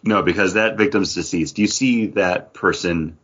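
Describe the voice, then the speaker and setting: A man's voice: deep voice, moderate pace, a bit bright, husky. A man co-hosting a podcast in casual chat, talking in a rambling way with lots of fillers. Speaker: podcast co-host. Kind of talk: casual chat about comics and beer. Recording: dull lo-fi sound.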